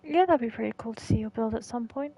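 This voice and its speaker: Creaky-voiced woman streamer. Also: a little high, fast, warm tone, highs cut off. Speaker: live streamer. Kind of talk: casual chat while gaming. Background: game music.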